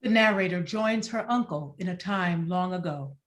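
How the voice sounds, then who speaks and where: A woman reading out stage directions as scripted narration, with an even, descriptive delivery. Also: on the low side, normal speed.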